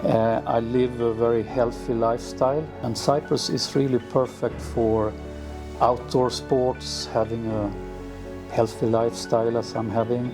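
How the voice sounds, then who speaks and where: A middle-aged man who grew up in Sweden, answering interview questions in an unhurried way with many fillers and restarts. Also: medium pitch, little pitch movement. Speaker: villa owner. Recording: music playing underneath.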